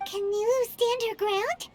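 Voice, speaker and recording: A woman voicing a tiny, excitable, childlike companion creature in a video game, commentating eagerly. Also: extremely high pitch, measured pace, music in the background. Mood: excited suspense. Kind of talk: anime-style video game voice acting.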